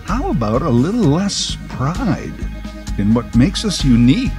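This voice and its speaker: Sardonic middle-aged man, lively pitch. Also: measured pace, music in the background. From Canada. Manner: dry, sardonic, opinionated. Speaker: podcast host. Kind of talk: personal monologue podcast.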